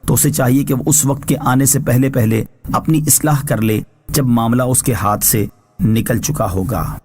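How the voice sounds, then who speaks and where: A man reading scripted Islamic translation and commentary in a measured, formal, instructive way, as a scholar would. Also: medium pitch, steady tone, a little mellow, slightly rough. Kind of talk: scripted religious exegesis narration.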